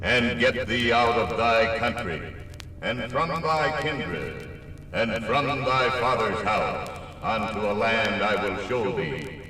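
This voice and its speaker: Solemn male scripture voice for vintage radio drama: forceful, stately, declamatory, ringing from far off in a hall. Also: lowish voice, slow pace. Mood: grave commanding. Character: solemn man reciting scripture, grave and exalted. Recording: echoey hall, distant, noisy 1950s radio recording.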